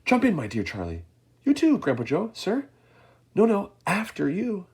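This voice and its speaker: An eccentric storybook candy maker full of bubbly glee, put on by a man. The voice sits high above a normal speaking register and leaps all over with theatrical excitement. A slightly husky, mellow tone runs under it. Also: exaggerated voice. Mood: delighted. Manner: bubbly, theatrical, rapid exclamations.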